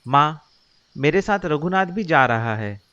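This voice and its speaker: A man's voice: on the high side, lively intonation, unhurried, fairly bright tone, lo-fi sound. A man playing a simple, dutiful young village man in a cartoon story, mild and agreeable in the way he talks. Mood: respectful. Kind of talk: Hindi cartoon moral-story voice acting.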